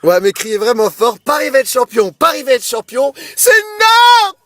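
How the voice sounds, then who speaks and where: High-voiced boisterous male prank-call host. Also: expressive, moderate pace, noisy background. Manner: boisterous, pushy, hyped-up exhortations. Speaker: prank caller posing as radio game show host. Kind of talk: improvised radio game show patter.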